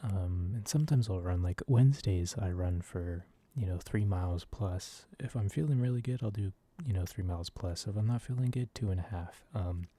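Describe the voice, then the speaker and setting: A man softly rambling in a casual ASMR style, hesitant and informal, with a breathy voice. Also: on the low side, no room sound. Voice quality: soft, breathy. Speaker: video creator. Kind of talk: soft-spoken ASMR ramble.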